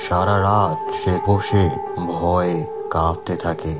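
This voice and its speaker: A man with a very low voice narrates a horror story from a script. He breaks it into short, dramatic phrases over a loud bed of music. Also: flat delivery, slow pace.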